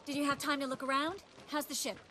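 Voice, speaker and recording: An actress playing a self-assured, tender young sorceress in a video game, speaking earnestly and expressively. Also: female voice, high voice, flat delivery, fast talker, pushed and bright, a little hiss or hum. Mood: brisk. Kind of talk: video game cutscene dialogue.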